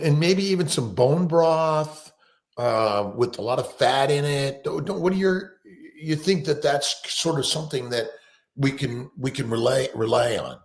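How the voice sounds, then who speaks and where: A man hosting a live-stream interview, asking questions haltingly and repeating words as he starts, on a video call mic. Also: fairly high pitch, gravelly, echoey room. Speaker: show host.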